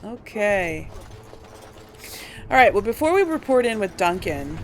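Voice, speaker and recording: A woman's voice: medium pitch, very animated, measured pace, slight background noise. A woman doing a casual let's play, commenting and role-playing dialogue as a gaming host.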